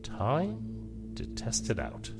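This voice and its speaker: An actor playing a stern, decisive starship captain with a curt, commanding manner in a science fiction audio drama. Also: male voice, mid-range voice, wildly swooping pitch, very slow, gravelly, over music, heavily acted. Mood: resolute.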